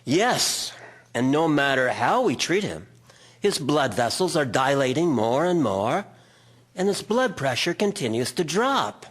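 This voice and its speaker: An actor playing a formal, earnest Victorian doctor in an audio drama. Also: male voice, fairly high pitch, sing-song. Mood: concerned. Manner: formal, measured wording. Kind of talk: scripted audio drama acting.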